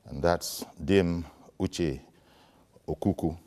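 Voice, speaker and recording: A man's voice: low voice, slow, darkish tone, in a large hall. A man hosting a morning news show, asking probing, polished questions in a studio. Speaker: TV news host.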